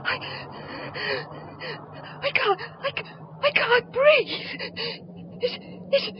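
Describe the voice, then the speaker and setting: Very shrill, frightened village wife. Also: female voice, very slow, exaggerated voice. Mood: hushed dread. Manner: breathless, faltering, melodramatic. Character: frightened devoted village wife, fearful of a wolf creature. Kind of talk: 1970s radio horror drama acting. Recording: muffled, noisy vintage radio recording.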